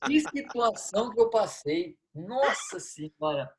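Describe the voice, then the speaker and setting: A Brazilian man in his thirties, a guest on a podcast, telling stories in a lively way, acting out dialogue and laughing often. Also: high voice, a little slow. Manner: animated storyteller, acts out dialogue, laughs a lot. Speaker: football coach, guest. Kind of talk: storytelling in a podcast interview.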